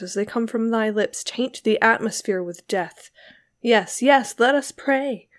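A woman's voice: medium pitch, a little mellow, natural voice. Audiobook role: woman as furious young lover, venomous, bitterly scornful, declamatory old-fashioned diction. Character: Giovanni, enraged, scornful young man. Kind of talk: dramatic literary audiobook reading.

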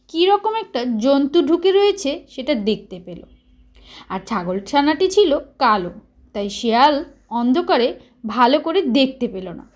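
A woman narrating a children's story in an expressive, dramatized way. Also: high-pitched.

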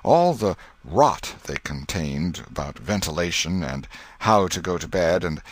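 Man, audiobook narrator, lowish voice, animated melodic delivery, literary storytelling, expressive phrasing. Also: measured pace, slightly rough, no room sound.

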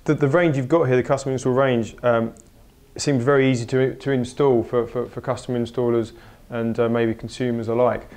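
Male interviewer for trade video. Medium pitch, informal delivery with some hesitation. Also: steady tone, moderate pace, darkish tone. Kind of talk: on-camera trade show interview.

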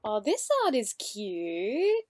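A woman's voice: medium pitch, big pitch swings, slow pace, darkish tone. A woman hosting a casual reaction commentary, making short offhand remarks. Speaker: reaction host. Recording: music and show audio behind.

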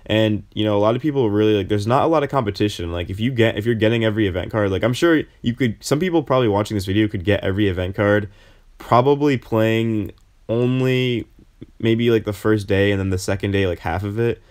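A man's voice: on the low side, fast, a little mellow. A young man talking casually over gameplay as a YouTuber, rambling with lots of fillers and restarts. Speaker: gaming YouTuber.

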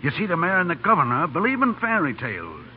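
An actor playing a brash, soft-hearted, slangy streetwise man in 1930s radio style. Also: fairly high pitch, wildly swooping pitch, fast. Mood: cheerful. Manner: slangy, cocky. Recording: noisy, tinny 1930s radio recording.

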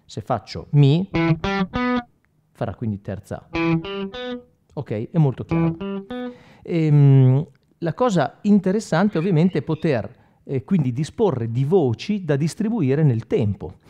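A man's voice: on the high side, animated, slow, long pauses, warm tone, lo-fi sound. A man in his forties explaining effects pedals in an informal, conversational tutorial, often checking that the listener follows. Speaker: guitarist demonstrating effects pedals. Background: electric guitar playing.

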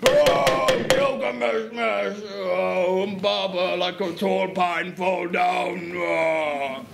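A man's voice: high-pitched, fairly even intonation, very slow, gravelly, exaggerated voice. A man playing a mighty, boastful ogre with mocking, theatrical taunts and roars in a storytelling show. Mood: dying wail. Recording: noisy live stage recording.

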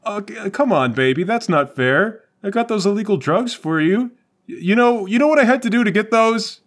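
A man's voice: sits high, animated, normal speed, pitched above the performer's own voice. A man playing a glum, crooked slacker cop boyfriend in a tabletop game, with a hesitant, stammering delivery. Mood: glum, pleading.

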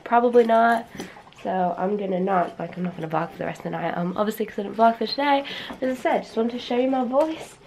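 A young woman with a hoarse voice that has gone deep from a cold, chatting casually to a handheld camera, giggly and rambling with many fillers. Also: fast talker, a little mellow, slight background noise. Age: young adult. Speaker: vlogger. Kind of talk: casual vlog chat. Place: bedroom at home, then staff room at work. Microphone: handheld camera at arm's length.

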